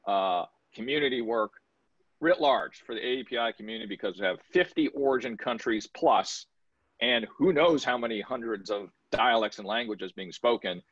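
A middle-aged man moderates a panel over a video call, brisk and pushing each speaker to be quick. His voice is a little husky, and the sound is dry and dull like lo-fi call audio. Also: medium pitch, a bit bright, no echo. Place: home office with bookshelves.

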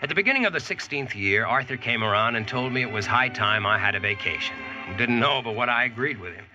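Man playing wry Yankee boss, American accent, lively intonation, plain-spoken, 1940s radio drama, dull old sound. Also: mid-range voice, quick pace, lightly acted. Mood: wry, reflective. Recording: muffled vintage radio, music underneath.

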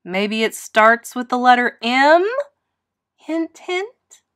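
A woman voicing a loving, patient mother pig, nurturing and expressive, in a storybook read-aloud. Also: on the high side, slow. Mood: hinting.

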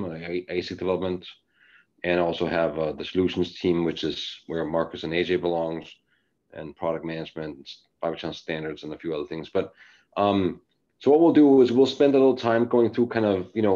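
A man's voice: sits low, steady tone, moderate pace, warm tone. A middle-aged man from Denmark giving a casual webcast presentation from his living room at home, talking with many fillers and restarts. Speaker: engineering executive presenter. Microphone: laptop webcam mic, video call. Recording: dull lo-fi video call audio.

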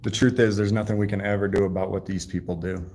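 A man livestreaming from a sheriff's office lobby, talking loudly and casually and rambling with many fillers and restarts. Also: on the low side, little pitch movement, quick pace, slight background noise. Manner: loud voice, by his own account. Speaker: livestreaming channel host.

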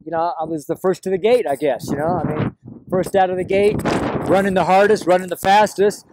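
A man's voice: high voice, steady tone, brisk, background noise. A middle-aged to older American man preaching informally to camera, rambling and emphatic, repeating his phrases. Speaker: street preacher. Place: outdoors, park path beside a road.

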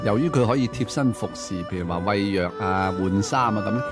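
A man's voice: on the low side, fairly quick. A man with a Hong Kong accent co-hosting a literary discussion, explaining in a conversational way. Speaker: co-host of a book discussion segment. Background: music.

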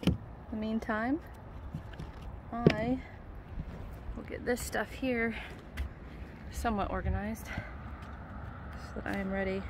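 A woman's voice: fairly high pitch, steady tone, takes it slowly, long pauses. A woman chatting to camera on the water, matter-of-factly explaining the plan. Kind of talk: casual vlog chat. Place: outdoors on open water. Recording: wind and water noise. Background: wind and water.

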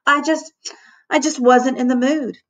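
A woman's voice: high voice, steady tone, a little slow, a bit bright, pitched above the performer's own voice. A woman voicing a languid, theatrical fourth-grade girl in a storybook, dramatic and sighing.

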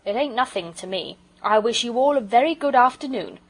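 A woman's voice: fairly high pitch, animated, fairly quick, breathy edge, voice pushed up, low-quality recording. A woman voicing a proud, sulky working-class English railway porter in a storybook, with colloquial speech and dropped aitches. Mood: huffy, lofty.

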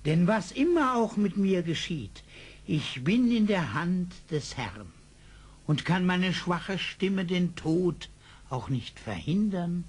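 A devout, fearless frontier psalm singer for a radio play. He speaks very slowly with solemn, swooping delivery in a fairly high male voice. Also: sing-song, breathy edge, exaggerated voice. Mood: solemn, exalted. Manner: solemn, slow, preacher-like. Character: devout, fearless psalm-singing frontier eccentric, serenely pious. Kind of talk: 1970s German radio play acting.

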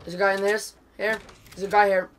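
A man's voice: high voice, level tone, a little fast, fairly bright tone, slight background noise. A young man from Norway whose voice keeps cracking, chatting casually with a teammate while gaming. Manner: laid-back, swears, laughs often.

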